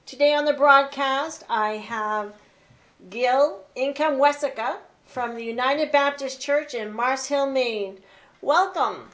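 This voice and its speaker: A woman's voice: high voice, slow, a bit bright, muffled recording. Upbeat woman hosting a faith broadcast. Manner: upbeat, affirming with frequent amens. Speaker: host.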